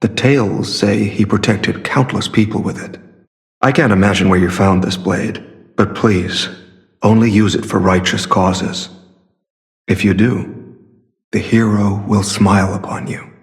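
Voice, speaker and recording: A man playing a secretive, earnest masked warrior in a video game, speaking in a measured, formal and solemn way. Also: on the low side, fairly even intonation, vocal fry. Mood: admiring, earnest. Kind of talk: scripted game character dialogue.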